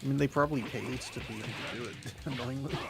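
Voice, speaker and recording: A man with a slightly nasal voice and a gravelly edge talks loosely through a stream chat, restarting and trailing off mid-thought. He is on a new microphone that has not been set up. Music plays clearly behind him. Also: medium pitch, quick pace. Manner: rambling, many restarts and trailing sentences. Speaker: co-host of a gaming stream. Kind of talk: casual gaming chat. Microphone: new microphone not yet set up. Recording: background music clearly heard.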